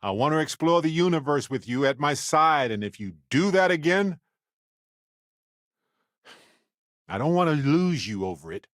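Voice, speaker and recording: An actor playing an earnest, wry, talkative space explorer companion in a video game, speaking in a conversational, reflective way. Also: male voice, a little high, lively intonation, a little fast, a bit bright, no echo, clean studio sound. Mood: firm, upset.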